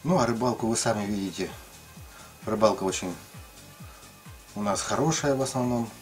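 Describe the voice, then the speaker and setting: A man's voice: fairly low pitch, normal speed, soft background music. A middle-aged man casually explaining his ice fishing tackle, rambling with many fillers and restarts. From lived in Ukraine, now in the Russian far north.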